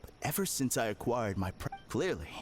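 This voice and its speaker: A man's voice: mid-range voice, sing-song, a little fast, soft background music, natural voice. Guy acting a snooty deluded game character, super dramatic swoopy delivery, thinks he's perfect. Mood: haughty. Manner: haughty, theatrical, dismissive. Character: delusional smug man claiming perfect happiness. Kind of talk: scripted video game voice acting.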